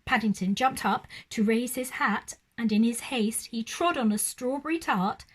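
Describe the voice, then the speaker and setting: A woman's voice: on the high side, normal speed. A woman telling a children's story expressively, like a teacher reading aloud.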